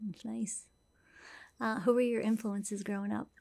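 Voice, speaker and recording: A woman's voice: fairly high pitch, flat delivery, measured pace, creaky, a little mellow. A woman interviewing a musician, asking short questions and offering encouragement. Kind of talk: casual interview.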